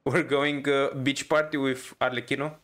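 A man's voice: medium pitch, level tone, normal speed, fairly bright tone. A young man giving casual gaming commentary, informal, often stammering and repeating his words. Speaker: gamer, streamer. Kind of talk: casual game reaction commentary.

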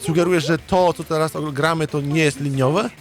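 A man's voice: a little high, steady tone, brisk. Rambling Polish man over game music. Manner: rambling, blunt and sweary. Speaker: gaming streamer playing a visual novel. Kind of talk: casual commentary and chat with viewers.

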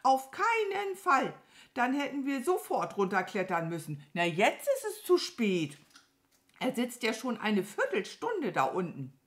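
A woman voicing an excitable, bossy crow with a scolding, agitated manner for a children's storybook. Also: medium pitch, measured pace, voice pushed up. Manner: agitated, scolding, stammers when alarmed.

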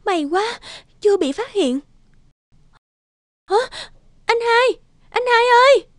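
High-pitched young peasant girl. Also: animated, slow, no echo, clean studio sound, exaggerated voice. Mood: anxious. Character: slim young peasant woman on a secret mission. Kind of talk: Vietnamese animated moral tale voice acting.

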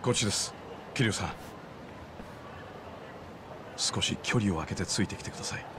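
Actor as a laid-back streetwise man, lowish voice. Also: normal speed, background noise, natural voice. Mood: composed. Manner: easygoing, courteous, understated. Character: laid-back, polite middle-aged former moneylender, streetwise. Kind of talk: Japanese video game voice acting.

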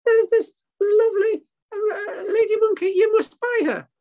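A man voicing an excitable, pleading little male monkey puppet who insistently repeats himself. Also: very high voice, unhurried, dull audio, voice pushed up. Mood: begging.

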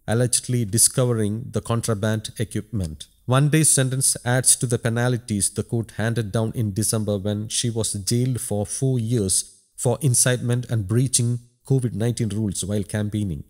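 A man's voice: medium pitch, a little mellow. A man narrating a scripted news report as a voice-over.

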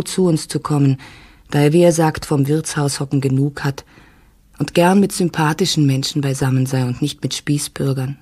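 A woman's voice: deep voice, dark tone, husky. A woman playing a worried wife, reading her letter to her sister in an intimate, reflective audio-drama style. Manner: reflective, confiding.

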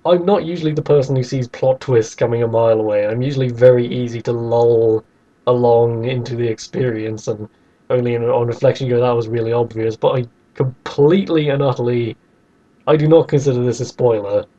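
A man's voice: mid-range voice, fairly even intonation, brisk, warm tone, muffled recording. A man co-hosting a podcast, debating casually in a wry, sceptical way. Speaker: podcast co-host.

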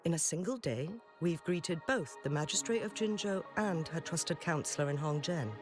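Dignified game noblewoman, calm authority, very courteous n formal, music under it. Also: low-pitched, moderate pace. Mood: calm, welcoming. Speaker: game character. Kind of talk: scripted game dialogue.

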